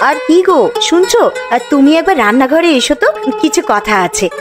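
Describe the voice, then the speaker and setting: A woman voicing a caring but bossy village mother in a cartoon, expressive and exclaiming, over music. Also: sits high, fairly quick. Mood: insistent. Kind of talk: cartoon voice acting. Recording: music behind the voice.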